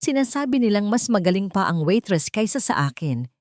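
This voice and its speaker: A woman's voice: medium pitch, very animated, a little fast, no echo, studio quality, voice pushed up, lightly acted. Woman acting out enraged rich husband, raised voice. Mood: furious, indignant. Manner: shouting, indignant. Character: enraged, paranoid rich middle-aged husband. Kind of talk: dramatic audio story dialogue.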